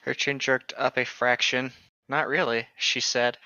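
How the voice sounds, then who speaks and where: A blunt, irreverent man with a bright, forceful and lively voice reads a novel aloud as a podcast co-host, dropping in joking commentary. The recording is dry and slightly dull. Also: medium pitch, unhurried, clean tone, dry recording.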